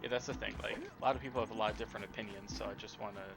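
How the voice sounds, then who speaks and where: A man's voice: on the low side, steady tone, rapid-fire, creaky. A man talking in an offhand, casual way while playing a video game, thinking aloud. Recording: loud game music behind. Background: video game music and game audio.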